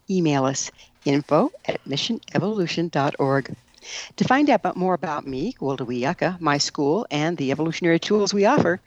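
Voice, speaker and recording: A woman's voice: fairly low pitch, no echo. A woman speaking as the host of an interview show. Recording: muffled, dull lo-fi audio.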